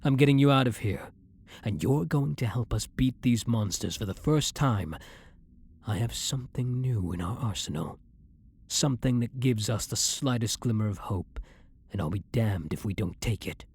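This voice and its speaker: A man's voice: medium pitch, lively intonation, moderate pace, darkish tone, husky. A man playing a blunt, guilt-ridden vampire hunter who is secretly a vampire, in an intimate ASMR audio roleplay monologue. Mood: grim, determined.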